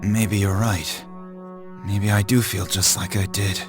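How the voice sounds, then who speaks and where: A man's voice: on the low side, flat delivery, takes it slowly, over music. An actor playing a blunt, proud young male boxer, curt and guarded, in an anime video game. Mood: subdued.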